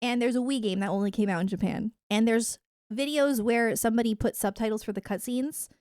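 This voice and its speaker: A woman chatting casually to viewers on a livestream in a giggly, animated, rambling way. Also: a little high, a little fast, no echo, studio quality. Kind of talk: casual livestream chat about anime and games.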